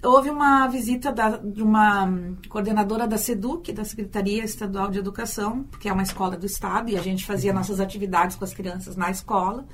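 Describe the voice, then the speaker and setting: A woman's voice: medium pitch, steady tone, fairly quick, few pauses, a little hiss or hum. A Brazilian woman, a university teacher and storyteller, answering in a radio interview, thoughtful and often repeating words as she thinks.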